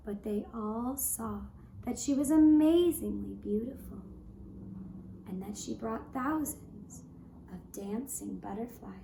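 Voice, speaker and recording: A woman narrating a folk tale as a puppet show storyteller, with measured, deliberate phrasing. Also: mid-range voice, warm tone. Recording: roomy echo, faint background noise.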